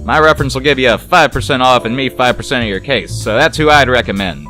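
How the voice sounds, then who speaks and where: Guy doing a brash wisecracking noir detective, ex cop boat captain, pitched up and loud, sarcastic. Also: quick pace, soft background music, close to an everyday voice. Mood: glib. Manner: glib, sarcastic, rambling wisecracks. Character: brash, sardonic cigarette-chewing ex-cop turned boat captain. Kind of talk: noir detective audio story.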